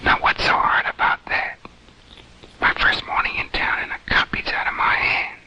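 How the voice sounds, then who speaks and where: An actor playing a novice undercover agent's whispered thoughts in a 1940s training film. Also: male voice, moderate pace, pushed and bright, highs cut off. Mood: cocky. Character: nervous, cocky male novice undercover agent, thinking. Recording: old 1940s film soundtrack.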